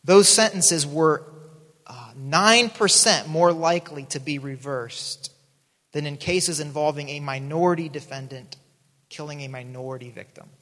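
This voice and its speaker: A man's voice: on the high side, a bit bright, long reverb tail. A man in his thirties who grew up in South America, preaching a sermon in an earnest way and often restarting his sentences. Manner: earnest, repeats words when starting a thought. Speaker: pastor.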